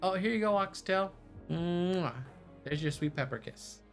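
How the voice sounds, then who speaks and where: A male streamer with a fairly high voice and a playful manner. He moves between casual chat and storylike narration of a visual novel, with soft music behind him. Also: a little slow, vocal fry. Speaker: livestreamer. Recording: music under the voice.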